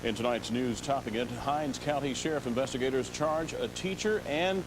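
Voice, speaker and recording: A man's voice: medium pitch, moderate pace, background noise. A man reading scripted local television news as the anchor of a broadcast. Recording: loud music under the voice.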